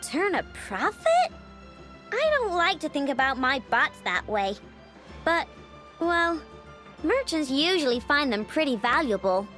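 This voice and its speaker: A woman's voice: high voice, very animated, a little slow, a bit bright, faint music behind, heavily acted. A woman playing an earnest, spunky young girl inventor in a video game, with a childlike and blunt manner.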